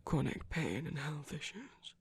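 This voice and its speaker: A man playing a possessive, commanding yet caring villain lover in an intimate ASMR roleplay, speaking deliberately in a husky voice that often drops to a whisper. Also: fairly high pitch, big pitch swings, exaggerated voice. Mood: tender, reassuring. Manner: slow, deliberate, coaxing with pointed pauses.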